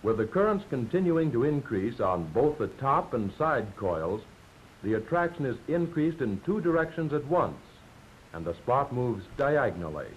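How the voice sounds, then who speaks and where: An American man delivers scripted 1940s training film narration with lively, varied intonation. He explains things in a measured, didactic manner. The old film soundtrack sounds dull and lo-fi, with a faint layer of noise. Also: medium pitch.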